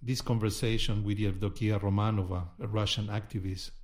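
Low-pitched man narrating as host. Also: flat delivery, brisk, darkish tone. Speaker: podcast host. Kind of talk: scripted narration.

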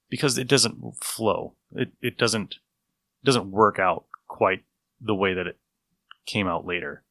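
A man co-hosting a casual review podcast, explaining in a geeky, animated way and repeating himself for emphasis. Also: on the low side, dry recording. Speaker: podcast co-host. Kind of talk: casual review chat about old radio episodes.

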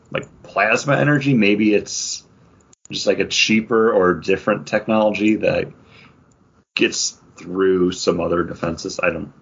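A man's voice: sits low, fairly bright tone, slightly rough, dull audio. A man co-hosting a podcast, chatting casually and thinking aloud with frequent hedges. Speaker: comics podcast co-host. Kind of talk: casual chat about comic books.

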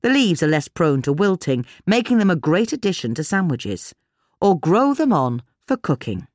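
British woman reading scripted narration, low voice, very dry close sound. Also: sing-song, moderate pace, a little mellow, no echo. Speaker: narrator reading a gardening article.

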